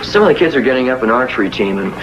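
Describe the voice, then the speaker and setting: A man's voice: mid-range voice, expressive, very fast, natural voice. Man as earnest teenage son, noisy old recording. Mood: earnest, hesitant. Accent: American. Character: easygoing, polite teenage son asking favors. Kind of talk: 1950s television sitcom acting. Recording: very noisy, dull old television soundtrack.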